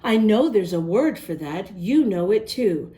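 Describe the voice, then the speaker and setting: A woman's voice: medium pitch, expressive, a little slow, dark tone, natural voice. A woman voicing an encouraging, comforting mother in a children's storybook, reassuring her young daughter.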